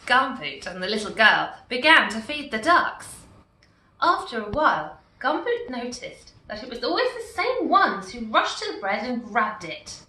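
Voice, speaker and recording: Slow, expressive woman storyteller. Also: a little high. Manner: slow, expressive, warmly animated. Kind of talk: reading a picture book aloud. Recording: echoey room, far from mic.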